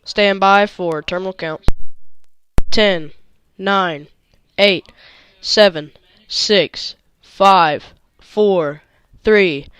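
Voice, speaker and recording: Guy with a stuffed up nasal voice doing amateur launch commentary, clean smooth sound, lots of ums. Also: sits high, fairly bright tone, no echo. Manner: halting, many fillers and restarts. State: stuffy nose. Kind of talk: hobby launch countdown commentary.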